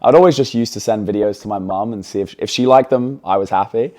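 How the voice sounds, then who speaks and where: A man's voice: fairly low pitch, fast talker, a little mellow. A man in his twenties, originally from England, speaking with an accent that blends English and American as a guest in a casual interview. He talks in an upbeat, chatty way with lots of fillers. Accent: mix of English and American. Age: 20s.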